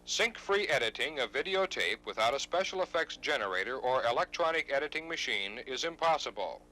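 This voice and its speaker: A man's voice: medium pitch, animated, brisk, bright, forceful tone, low-quality recording. A man reading scripted instructional narration in a formal, precise manner, as on a 1970s training videotape.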